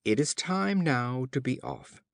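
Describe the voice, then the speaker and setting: A man reading as a practical mother bird in a storybook, calm and reassuring. The speech is slow, with varied pitch. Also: vocal fry, no echo, studio quality. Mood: calm, decisive. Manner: measured, reassuring. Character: mother lark, calm, practical, guiding her brood.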